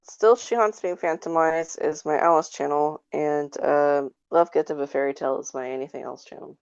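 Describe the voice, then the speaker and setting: A woman's voice: low-pitched, moderate pace, a little mellow, smooth, lo-fi sound. A woman chatting casually as a guest on a podcast.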